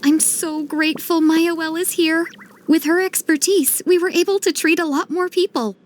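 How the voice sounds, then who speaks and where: Gentle young village woman, high voice, grateful, earnest, video game dialogue. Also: steady tone, normal speed, a little hiss or hum. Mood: concerned.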